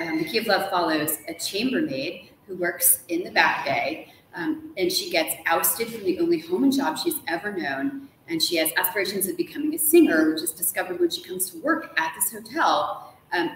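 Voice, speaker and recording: A woman's voice: fairly low pitch, animated, normal speed, a bit bright. A woman in her forties, an enthusiastic interview guest, telling stories casually with a playfully dramatic flair. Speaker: guest author. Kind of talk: casual animated storytelling in a live interview. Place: large ornate hotel room, indoors. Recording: echoey room with faint background noise.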